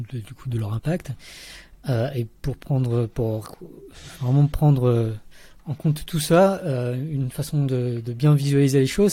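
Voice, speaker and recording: Hesitant man with a dark husky voice. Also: medium pitch, no room sound. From Vietnam, raised in the Paris region. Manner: hesitant, many fillers and restarts. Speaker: podcast guest, researcher. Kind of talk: casual interview talk.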